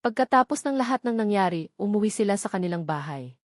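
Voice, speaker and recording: A woman's voice: mid-range voice, lively intonation, quick pace, no echo, clean studio sound. A woman reading scripted, dramatic narration of a love story as its storyteller.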